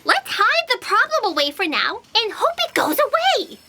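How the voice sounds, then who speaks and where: A woman's voice: very high-pitched, sing-song, moderate pace, heavy background noise, exaggerated voice. A woman playing a naive, eager and hapless cafeteria underling in a comic audio drama. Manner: eager, guileless.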